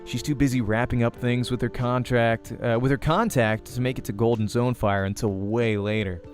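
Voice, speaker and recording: A man reading a visual novel aloud as a let's play host, in an expressive storytelling manner. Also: medium pitch, normal speed, soft background music. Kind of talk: narrating a visual novel aloud, acting dialogue.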